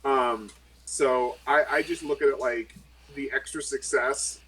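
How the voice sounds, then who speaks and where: A man's voice: medium pitch, steady tone, unhurried, a bit bright, slight background noise, poor audio. A man chatting casually as a player in a tabletop game, rambling with lots of fillers.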